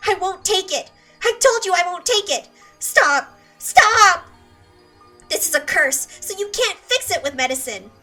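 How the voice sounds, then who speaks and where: Unhinged guilt-ridden teen girl, high and piercing, set back from the mic over music. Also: normal speed, bright, forceful tone, distant mic. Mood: hysterical. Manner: obsessive, repeating apologies, swinging from whisper to scream. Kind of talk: dubbed anime drama monologue.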